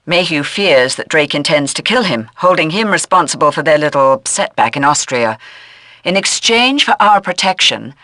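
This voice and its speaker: An actress playing a stern intelligence handler giving crisp, formal mission briefings in a video game. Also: female voice, low-pitched, expressive, normal speed, a bit bright, dry recording. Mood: stern, businesslike. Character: stern, businesslike intelligence handler directing a secret agent.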